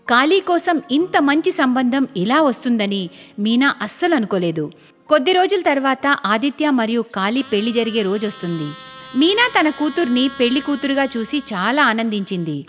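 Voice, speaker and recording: A woman with a fairly high voice reads a scripted story for a cartoon, in storybook narration. Her voice carries a long reverb, and music plays behind her. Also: fairly bright tone. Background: background music.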